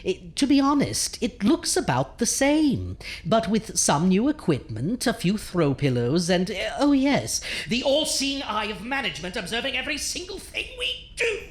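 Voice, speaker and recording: A woman's voice: on the high side, huge pitch swings, moderate pace, hardly any pauses. A woman playing a playful, wry, ghoulish horror hostess in a radio drama, theatrical and arch with chatty asides. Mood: exasperated. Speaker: host.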